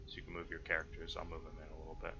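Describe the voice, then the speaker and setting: A man running an online tabletop game as game master, explaining and narrating informally in halting phrases. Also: very deep voice, monotone, vocal fry, pushed and bright. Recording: dull lo-fi mic with faint noise.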